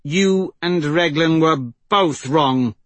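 A man's voice: on the high side, lively intonation, moderate pace, a bit bright, husky, no room sound, pitched above the performer's own voice. A man voicing a fierce, forthright woman in an audiobook, speaking urgently and emphatically. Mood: indignant. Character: fierce, indignant woman leading a rescue.

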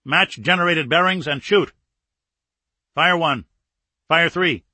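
A man's voice: mid-range voice, expressive, normal speed, pushed and bright, slightly rough, no echo. A man voicing a tense submarine captain for an audiobook, giving urgent, clipped military commands. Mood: urgent, commanding. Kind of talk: audiobook character acting.

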